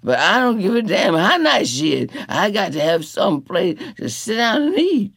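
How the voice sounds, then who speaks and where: A man voicing a rowdy young drunk who is spoiling for a fight, loud and defiant. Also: on the high side, lively intonation, takes it slowly, no room sound, clean studio sound. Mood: belligerent.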